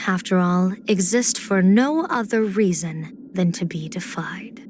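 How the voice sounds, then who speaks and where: A woman's voice: medium pitch, close to an everyday voice. Game role: actress as playful female general-diviner, medium register, assured and teasing, theatrical delivery, light background noise. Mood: playful, musing. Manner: teasing, theatrical, poised phrasing. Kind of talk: video game character voice lines.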